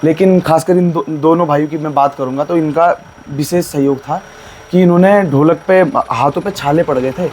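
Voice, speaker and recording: Hoarse Garhwali man, higher voice. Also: moderate pace, a little mellow, a little hiss or hum. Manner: plain, earnest storytelling. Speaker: folk singer, guest. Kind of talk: casual interview and folk singing.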